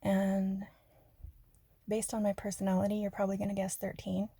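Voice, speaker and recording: A woman from Arizona chatting softly and rambling in a half-whispered ASMR style, joking about herself. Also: medium pitch, monotone, normal speed, breathy edge, no echo. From Arizona, USA. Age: adult. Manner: soft, half-whispered, self-deprecating, giggly. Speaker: ASMR creator. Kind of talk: soft-spoken rambling ASMR chat.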